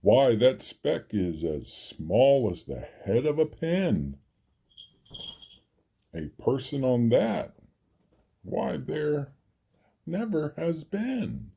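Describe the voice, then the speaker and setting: A man's voice: mid-range voice, wildly swooping pitch, very slow, gravelly, long pauses, warm tone, husky, full character voice. A man voicing a sour, scornful female kangaroo from a storybook, dismissive and mocking.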